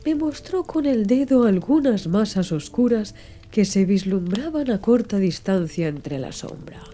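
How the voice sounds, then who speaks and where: A woman narrates a literary audiobook with a deeply mellow, velvety tone. Her delivery is soft and soothing, yet the melody of her reading moves in a lively way. Faint music sits behind her voice.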